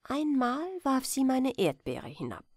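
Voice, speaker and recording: A woman reads a bedtime fairy tale in a fairly high voice. She takes her time, phrasing carefully and expressively with lots of melodic movement. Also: very animated, unhurried, a little mellow, slightly rough, dry recording, clean studio sound. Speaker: storyteller. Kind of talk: bedtime fairy-tale reading, scripted narration.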